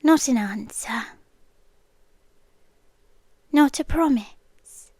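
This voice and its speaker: Slow whispering British woman, close and dry. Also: high voice, dry recording. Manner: soft whispery intimate delivery. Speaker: ASMR roleplay performer. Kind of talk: scripted ASMR girlfriend roleplay.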